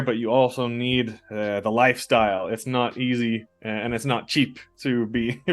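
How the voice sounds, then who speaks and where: This young man chats over a call in a middle-pitched voice, easygoing and unhurried. He stumbles and repeats words as he thinks aloud, and faint music sits behind him. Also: clean tone. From Norway and the US. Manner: relaxed, halting, many restarts and repeated words. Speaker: guest. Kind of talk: casual conversation.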